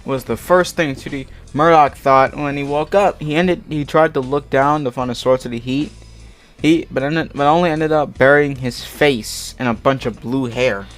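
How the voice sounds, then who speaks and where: A man's voice: mid-range voice, normal speed. Exasperated man reading fanfiction over music. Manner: slangy, profane, exasperated asides; stumbles over words while reading. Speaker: host reading fan fiction aloud for an online video. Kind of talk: comic dramatic reading with casual side commentary. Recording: music playing behind the voice.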